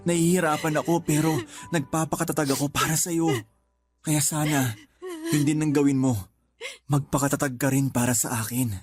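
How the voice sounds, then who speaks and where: A man acting a patient, steadfast husband in a radio drama, earnest and gently reassuring. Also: fairly high pitch, steady tone, moderate pace, natural voice. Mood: earnest, pained. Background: music.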